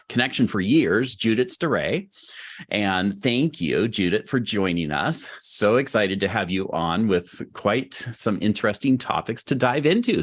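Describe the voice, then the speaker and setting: A middle-aged man hosting a podcast interview, upbeat and enthusiastic, laughing often. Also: lowish voice. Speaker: podcast host.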